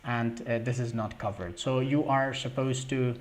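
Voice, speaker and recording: A young man hosting a live question-and-answer stream, reading viewer questions aloud and answering in a halting way with many fillers. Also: mid-range voice, fairly even intonation. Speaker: livestream host answering scholarship questions.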